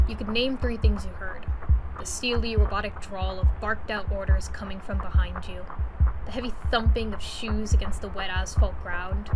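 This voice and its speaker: A woman's voice: medium pitch, close to an everyday voice, poor audio. A woman playing a streetwise friend who narrates an audio drama in the second person, in an intimate, dramatic manner. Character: concerned streetwise friend narrating a tense urban memory. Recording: loud music behind.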